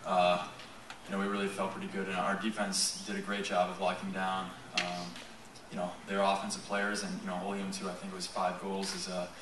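A man answering at a postgame press conference, halting with many restarts and fillers. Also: sits low, completely flat delivery, background noise. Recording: roomy echo from far off.

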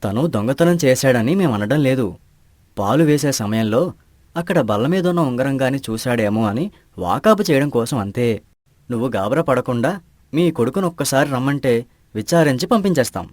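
A man voicing a level-headed village friend who asks patient questions in an animated moral story, reassuring and inquisitive. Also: mid-range voice, normal speed, dry recording, studio quality, lightly acted. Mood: calm, tactful.

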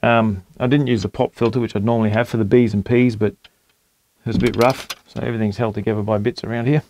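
A man's voice: lowish voice, little pitch movement, fast talker, darkish tone, a little hiss or hum. A man in his forties casually walking through how he recorded a song at home, rambling with fillers and self-corrections. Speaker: home music producer and channel host. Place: small home studio room at a computer desk.

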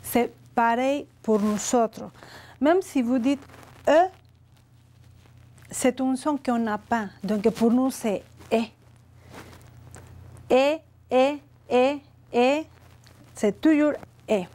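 A woman in her forties, a native Spanish speaker with a Mexican accent in French, teaching a beginner language lesson in a deliberate, carefully enunciated way. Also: a little high, dark tone. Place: indoor studio set with chalkboard. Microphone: lapel.

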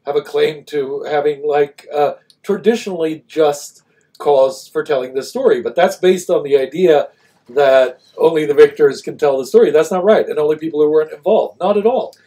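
A man's voice: a little high, normal speed, dark tone. A middle-aged man, native in Swedish and English, talking unscripted as co-host of a live stream. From Sweden. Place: small indoor room, seated.

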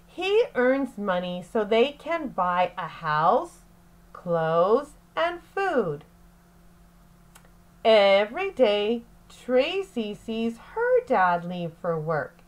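Very slow-paced woman reading to children. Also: mid-range voice, very animated. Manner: deliberate, phrase-by-phrase delivery for young children. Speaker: teacher reading aloud. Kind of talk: slow, expressive children's read-aloud lesson.

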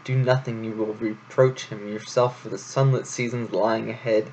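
A man's voice: on the low side, level tone, normal speed, a little mellow, slight background noise, lightly acted, low-quality recording. A man voicing a melodramatic female mouse actress who declaims and pleads in an overwrought way. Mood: pleading.

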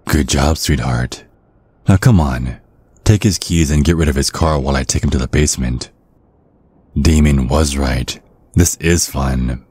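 A man voicing a courtly, protective old vampire father in an ASMR roleplay, speaking in a measured, formal, politely threatening way. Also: rumbling low, darkish tone, slightly rough, full character voice. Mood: smugly pleased.

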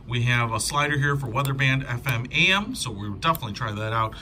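Man, bright forceful voice, casual product reviewer, enthusiastic, informal, faint background noise. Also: medium pitch, quick pace.

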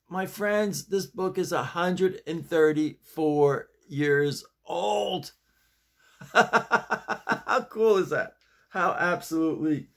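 An older man in his sixties or beyond chatting casually to camera in a folksy, halting way, leaning on repeated emphatic phrases. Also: fairly high pitch. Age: 60s or older. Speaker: vlog host and amateur playwright. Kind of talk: casual rambling chat to camera. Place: small home study, indoors.

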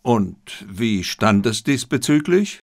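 A man voicing a shrewd, composed detective who speaks in a brisk, courteous, probing manner. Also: fairly low pitch, sing-song, gravelly, heavily acted.

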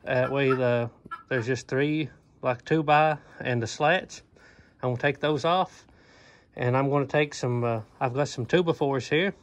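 A man, chatting easygoing and folksy about a barn project, with plenty of ums and uhs, recorded on a handheld phone in a wooden barn. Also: medium pitch. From Eastern Kentucky. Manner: easygoing, folksy, with many "um" and "uh" fillers. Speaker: homesteader vlogger. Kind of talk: casual DIY vlog talk. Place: inside an old wooden barn. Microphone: phone camera at arm's length.

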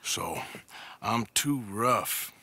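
A man's voice: on the low side, lively intonation, drawn-out words, bright, forceful tone, no room sound, full character voice. An actor playing a rugged outlaw in his thirties in a game drama, bitter and world-weary, with an American drawl. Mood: bitter, sardonic. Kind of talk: dramatic video game acting.

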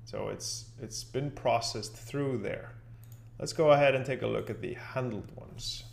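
Man narrating software tutorial, creaky voice. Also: mid-range voice, measured pace, slight background noise. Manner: informal, thinking aloud step by step. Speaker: software instructor. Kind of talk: unscripted screen-share tutorial narration.

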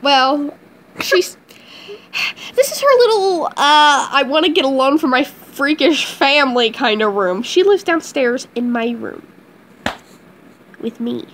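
A woman's voice: sits high, animated, slow, a bit bright, slight background noise. A young woman bantering and teasing her sister in a dim bedroom, filmed on a phone at arm's length. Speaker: vlogger. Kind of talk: casual chat. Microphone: phone held at arm's length.

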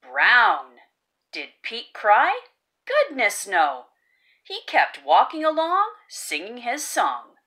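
A woman reading a children's story aloud as host, expressively, with exaggerated exclamations and drawn-out key words. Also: fairly high pitch, huge pitch swings, sharp tone. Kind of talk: animated children's read-aloud.

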